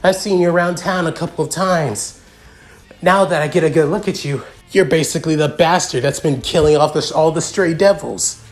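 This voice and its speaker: A man's voice: on the high side, moderate pace, voice pushed up, lightly acted. A man voicing a vicious, foul-mouthed rogue exorcist who taunts and swears in an agitated way, in a story reading. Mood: gloating, hostile.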